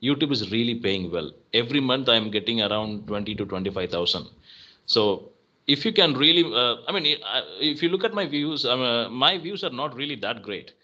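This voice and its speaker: A man with an Indian English accent talks in a loose, easygoing way, filling pauses and laughing lightly. His voice is bright and forward. The call audio sounds dull and lo-fi. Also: medium pitch. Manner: relaxed, chatty, many fillers and laughs. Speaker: channel host. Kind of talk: casual call conversation.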